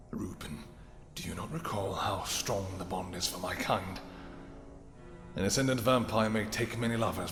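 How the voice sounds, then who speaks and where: An actor playing a regal, possessive vampire king in a fantasy audio roleplay, with archaic, theatrical speech and halting pauses. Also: fairly low pitch, a bit bright. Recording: echoey hall reverb with music.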